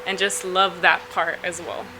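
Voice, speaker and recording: A young woman from Florida, a photographer answering interview questions in a relaxed, chatty way with lots of fillers. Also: on the low side, unhurried, noisy background. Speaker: photographer guest.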